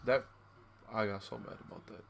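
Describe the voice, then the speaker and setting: A man's voice: on the low side, steady tone, brisk, gravelly. A young man in a casual movie chat, speaking bluntly and with plenty of buddy-like slang. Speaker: co-host of a movie review chat.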